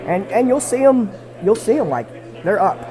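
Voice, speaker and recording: A man with a somewhat raised pitch calls an airsoft match live, talking casually, restarting phrases and repeating his points. He shares one mic in a small side room, with a loud crowd clearly heard behind him. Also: very animated, normal speed. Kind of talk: live play-by-play commentary. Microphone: shared desk mic.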